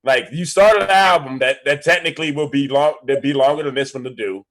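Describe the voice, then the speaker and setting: A man co-hosting a podcast, excitable and swearing freely in casual talk. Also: mid-range voice, fairly quick. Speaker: podcast co-host.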